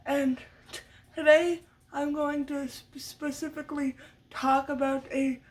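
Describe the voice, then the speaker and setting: A woman's voice: high voice, level tone, measured pace. A young woman vlogger who stutters often, repeating sounds as she tells a sit-down story at home. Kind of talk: sit-down story time talk. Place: home room with her dog nearby. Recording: voice a bit far from the mic.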